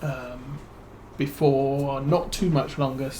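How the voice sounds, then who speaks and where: A man with a British accent giving relaxed let's-play commentary as a streamer, musing and thinking aloud with plenty of fillers. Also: mid-range voice, slow, a little hiss or hum. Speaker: game streamer.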